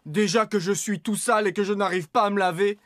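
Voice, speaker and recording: An actor in a French children's cartoon dub voicing an excitable, competitive young vehicle that gets whiny when thwarted. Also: medium pitch, brisk, dry recording, studio quality, natural voice. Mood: sulky, upset.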